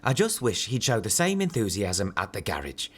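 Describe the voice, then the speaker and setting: A man's voice: lowish voice, wildly swooping pitch, normal speed, a bit bright, slightly rough, heavily acted. A man playing a practical, disapproving middle-aged mechanic father in a radio soap. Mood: stern, sceptical.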